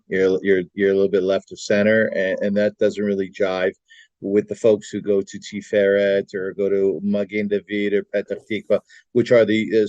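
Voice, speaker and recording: A man's voice: fairly low pitch, darkish tone, no room sound. A middle-aged man, a podcast guest, talking earnestly with frequent restarts. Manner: earnest, complimentary, lots of restarts. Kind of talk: casual conversation. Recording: dry, dull lo-fi audio.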